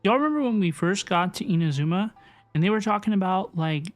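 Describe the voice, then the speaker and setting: A high-pitched male livestreamer chats casually while reacting to a game. He is informal and tends to repeat himself, with faint game music behind him. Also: expressive, a little fast. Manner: chatty, informal, repeats phrases. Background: video game music.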